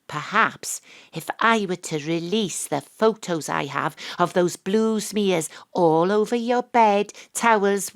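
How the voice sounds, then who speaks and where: A woman's voice: mid-range voice, natural voice. A woman playing a wry, principled young detective with a Welsh accent, narrating and acting in an audio drama. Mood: sharp, accusing.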